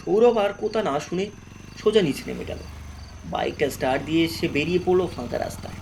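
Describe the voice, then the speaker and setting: Guy narrating a scary story, high voice, swoops around a lot, music under it. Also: animated, brisk, gravelly, background noise. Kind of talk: dramatic horror story narration.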